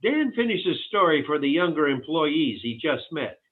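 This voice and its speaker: A man reading stage directions at a sitcom table read, scripted narration in a husky voice over a thin online connection. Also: fairly high pitch, sing-song, moderate pace. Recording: thin, phone-like online call audio.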